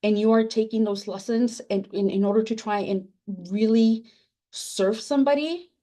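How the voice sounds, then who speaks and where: A woman's voice: a little high, fairly even intonation, normal speed, dark tone. A woman whose first language is Spanish, answering a panel question earnestly on a video call, with many fillers and restarts. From immigrant, first generation. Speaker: book editor on a panel.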